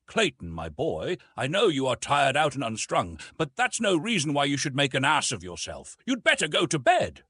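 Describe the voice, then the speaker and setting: A man voicing a weary, rueful young English gentleman in an audiobook, earnest, formal and courteous. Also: fairly high pitch, wildly swooping pitch, moderate pace, few pauses, breathy edge, no echo, voice pushed up, full character voice.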